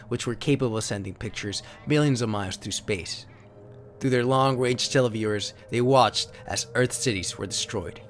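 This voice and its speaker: A man with a medium-pitched voice reads pulp sci-fi tales as a narrator. His delivery is theatrical and solemn, like a serious storyteller. There is some faint noise and ambience behind him. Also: fairly bright tone. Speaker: narrator and host. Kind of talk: dramatic reading of pulp sci-fi card stories. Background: sound effects and ambience.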